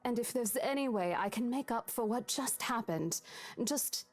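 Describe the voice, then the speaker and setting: A woman's voice: a little high, animated, moderate pace, faint music behind. An actress playing a polite, eager-to-please female real estate agent, apologetic and accommodating, in a visual novel.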